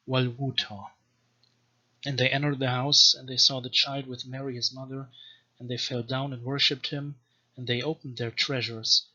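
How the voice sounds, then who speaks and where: A man giving an informal, scholarly language lecture in a deliberate, measured manner, as a teacher explaining and reading aloud. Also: medium pitch, pushed and bright. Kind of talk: informal explanatory lecture with slow read-aloud text samples. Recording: dull, lo-fi microphone sound.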